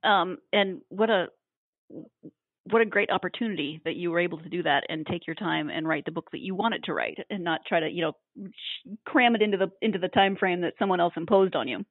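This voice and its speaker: Female podcast host, measured questioner; lower pitch, phone-line audio. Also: no echo. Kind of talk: interview questions. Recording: dry, phone-like remote call.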